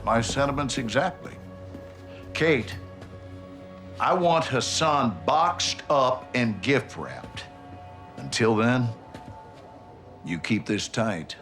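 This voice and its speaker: A blunt bossy american army general from a war game, gruff and impatient, music blaring behind. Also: male voice, medium pitch, lively intonation, slow pace, pauses often, fairly bright tone. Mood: brusque. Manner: blunt, brusque, profane, authoritative.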